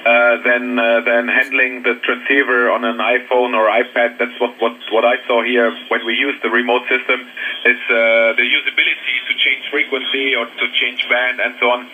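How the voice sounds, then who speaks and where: A man's voice: mid-range voice, flat delivery. German-accented man over narrow, noisy ham radio. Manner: halting, searching for English words. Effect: shortwave radio transmission. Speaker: amateur radio operator. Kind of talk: casual radio contact chat. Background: radio static.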